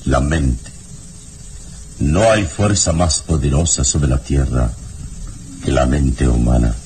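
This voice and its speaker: An actor playing a calm mystic hero who trusts the mind over weapons, speaking slowly and solemnly in a radio drama with music behind. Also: male voice, a bass voice, a little hiss or hum, exaggerated voice. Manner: slow, solemn, commanding. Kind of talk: 1960s radio drama acting.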